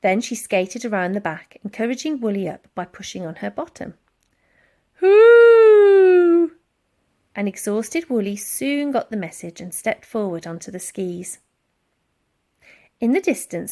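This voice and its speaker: A woman reading a children's storybook aloud in a dramatic, expressive narrating style. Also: medium pitch. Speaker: audiobook reader.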